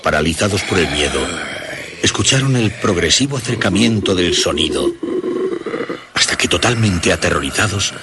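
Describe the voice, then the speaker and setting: A man narrating a scripted horror story for radio in a measured, dramatic storytelling manner. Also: sits low, lively intonation, very noisy.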